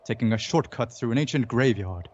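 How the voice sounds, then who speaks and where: A man playing a dramatic announcer, narrating an old-time radio mystery in a deliberate style. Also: medium pitch, animated, darkish tone, slight background noise, close to an everyday voice. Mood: dramatic, suspenseful. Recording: dull lo-fi audio. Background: music.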